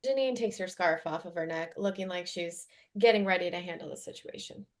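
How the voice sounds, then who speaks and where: A woman's voice: on the low side, brisk. A woman reading out stage directions as scripted narration at a table read.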